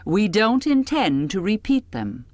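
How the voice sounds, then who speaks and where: A woman narrator acts out a pious older woman who instructs girls in an audiobook. She is condescending and savors each pause, with slow delivery and a lifted, swooping pitch. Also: big pitch swings, a little mellow, dry recording.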